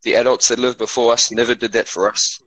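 A man's voice: medium pitch, flat delivery, fast talker, a bit bright, dry recording, rough recording. A man from South Africa in casual chat, easygoing and slangy, with a South African accent. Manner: laid-back slangy talk, calls everyone bro. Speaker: chat participant. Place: Auckland, New Zealand.

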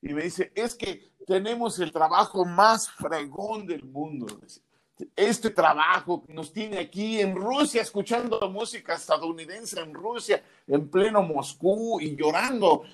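Earnest man, higher voice. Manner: storytelling, earnest. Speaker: sports journalist. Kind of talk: remembering a colleague in conversation.

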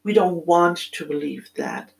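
German-accented woman talking slow and thoughtful, lots of pauses and ums, middle-aged podcast host vibe. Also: on the low side, huge pitch swings, creaky, a little mellow. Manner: thoughtful, halting, with many pauses and fillers. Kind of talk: reflective solo monologue.

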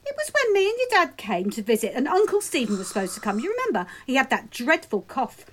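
A woman's voice: high voice, wildly swooping pitch, fast, fairly bright tone, heavily acted. A woman playing a worried, fussy middle-aged mother who rambles. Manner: fussy, digressive.

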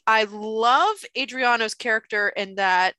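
A young woman co-hosting a casual opera podcast, animated and self-mocking, talking into a laptop on a call. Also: measured pace, no echo. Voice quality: deep for a woman. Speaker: podcast co-host. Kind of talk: casual chat about opera. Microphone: computer mic on video call.

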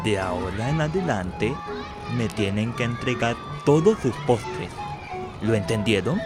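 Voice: mid-range voice, expressive, unhurried, close to an everyday voice. A performer playing a big, menacing eleven-year-old bully boy in a radio drama, commanding and intimidating. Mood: bossy. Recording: loud music bed behind.

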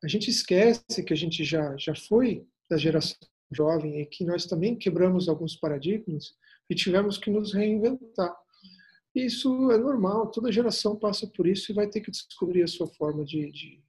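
A man's voice: high-pitched, moderate pace, darkish tone. A middle-aged man with a Brazilian accent chatting in an interview, reflective and fond of rhetorical questions. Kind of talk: casual interview conversation.

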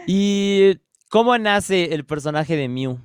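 Mexican guy hosting a podcast, smooth clean voice, dry close sound. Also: high-pitched, lively intonation, slow, dry recording. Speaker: podcast host. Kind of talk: casual interview chat.

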